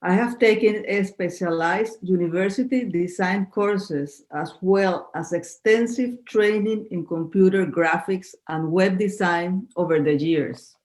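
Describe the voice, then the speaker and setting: A woman's voice: lowish voice, unhurried, few pauses, darkish tone. A woman in her fifties from Venezuela, a native Spanish speaker, answering interview questions in a podcast in an earnest, slightly formal way. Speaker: guest.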